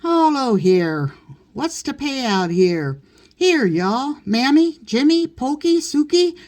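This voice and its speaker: Woman acting out a breezy, teasing gentleman master, mellow voice. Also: sits high, very animated, slow pace, voice pushed up, heavily acted. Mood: breezy, genial. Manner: offhand, bantering commands.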